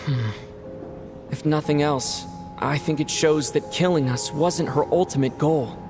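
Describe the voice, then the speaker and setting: An actor playing a calm, reserved traveling hero in a video game, with a measured and thoughtful delivery. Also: male voice, on the high side. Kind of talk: video game dialogue acting. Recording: studio voice under clear game music. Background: background music.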